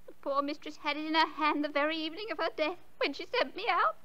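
A woman's voice: high-pitched, fast talker, sharp tone, dull audio. An actress playing a timid, earnest housemaid in a radio drama, meek and respectful toward her betters. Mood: deferential.

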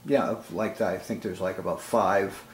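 A man interviewing casually, halting and searching for words as he speaks. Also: low voice, fairly even intonation. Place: room indoors. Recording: roomy, noisy indoor recording.

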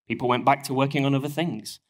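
A man in his thirties with a northern English accent, giving a conference presentation from a lectern on a headset mic while getting over a cold. His delivery is steady and explanatory, with frequent ums and uhs. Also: mid-range voice, fast. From northern England. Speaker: conference speaker. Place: stage of a conference hall, at a lectern.

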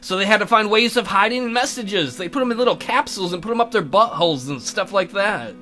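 High, bright-voiced man acting out game dialogue. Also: expressive, brisk. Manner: jokey ad-libbing. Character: enigmatic male tutor hinting at secrets. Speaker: let's play co-host. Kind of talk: acting out visual novel dialogue. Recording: guitar music behind.

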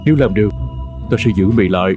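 A man voicing a cold, grudging farmer in a cartoon folk tale, gruff and dismissive. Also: lowish voice, rapid-fire, natural voice. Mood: reluctant, stern. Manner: gruff, dismissive, sighing reluctantly. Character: cold, grudging male farmer, master of an old horse. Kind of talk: animated folk tale dialogue. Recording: music under the voice.

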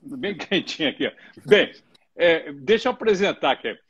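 A man's voice: on the high side, expressive, normal speed, a bit bright, slightly rough. A middle-aged man hosting a live interview from home, chatty and joking and stumbling over his words. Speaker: host. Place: home room, indoors. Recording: muffled lo-fi live stream.

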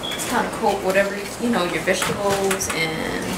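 A woman's voice: medium pitch, moderate pace, heavy background noise. A young woman in her twenties talking casually through a home cooking demonstration in her kitchen, saying "you know" and "y'all" a lot. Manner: chatty, loose, says "you know" and "y'all" often. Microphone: camera mic from across the kitchen. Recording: roomy kitchen audio from a distant camera, loud music underneath.

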